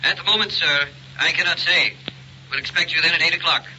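An actor playing an earnest, uneasy museum official on the telephone, formal and urgent, in an old radio drama. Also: male voice, fairly high pitch, brisk, sharp tone, lo-fi sound, slight background noise. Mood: urgent, guarded. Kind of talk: 1950s-style radio drama acting.

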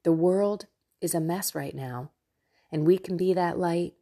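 Low-pitched, slightly nasal woman podcaster. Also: measured pace, dark tone. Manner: effusive, chatty, talks directly to listeners. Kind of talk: casual devotional monologue to listeners.

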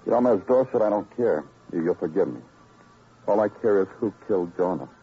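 An actor playing a weary, hard-boiled police detective in a radio crime drama, clipped, dry and brooding. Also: male voice, low-pitched, expressive, a little fast, husky, exaggerated voice. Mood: probing. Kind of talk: 1950s radio crime drama acting and narration. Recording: muffled old radio broadcast recording.

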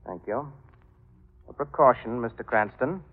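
An actor playing a soft-spoken, menacing male villain with unhurried, mock-polite courtesy in an old radio drama. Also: mid-range voice, lightly acted. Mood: calm menace. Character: soft-spoken, menacing villain who claims a deadly touch. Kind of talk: 1940s radio drama acting. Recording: muffled old radio recording, long reverb.

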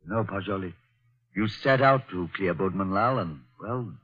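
Husky, low, loyal gentleman friend. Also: normal speed. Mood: troubled, supportive. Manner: hesitant, gentlemanly, reassuring. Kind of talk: 1940s radio drama acting. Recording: muffled old 1940s radio recording.

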